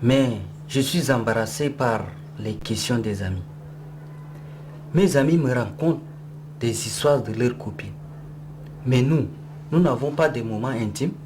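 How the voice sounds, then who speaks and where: A man acts out an embarrassed young boyfriend in an educational drama, affectionate and unsure of himself. His voice is mid-pitched. Noticeable noise runs behind him. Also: expressive, unhurried, long pauses, lightly acted. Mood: embarrassed, pleading. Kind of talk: scripted dialogue in an educational film. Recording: clear background noise.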